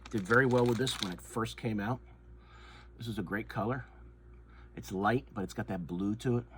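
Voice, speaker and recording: A man's voice: fairly low pitch, normal speed. Stuffy-nosed man chatting casually. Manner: rambling, repeats himself, many ums and restarts. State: stuffy, nasal from a head cold. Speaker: fishing channel host. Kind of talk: casual show-and-tell chat. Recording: noise with crinkling plastic bags. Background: crinkling soft-plastic bait bags.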